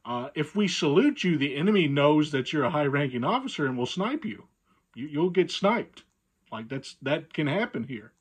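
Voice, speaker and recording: A man's voice: on the high side, big pitch swings, normal speed, husky. Man acting out a cheeky, mock-polite soldier. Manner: mock-respectful, explanatory. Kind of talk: acted quote in comic anecdote.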